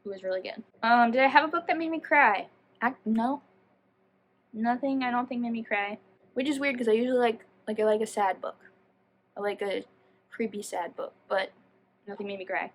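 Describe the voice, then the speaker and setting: A woman's voice: a little high, moderate pace, slight background noise. A woman chatting casually to viewers as a book vlogger, rambling and self-deprecating as she goes off on tangents. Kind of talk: casual chatty video talk.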